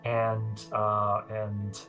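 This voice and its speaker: A man running a tabletop game as game master, chatty, laughing often and filling pauses with ums. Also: fairly low pitch, completely flat delivery, fairly bright tone, soft background music.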